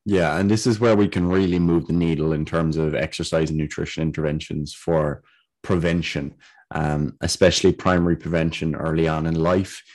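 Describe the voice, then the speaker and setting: Deep-voiced male podcast host. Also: fairly even intonation, a little mellow. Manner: explanatory, measured, occasional fillers. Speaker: podcast host, trainee doctor. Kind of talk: casual podcast discussion.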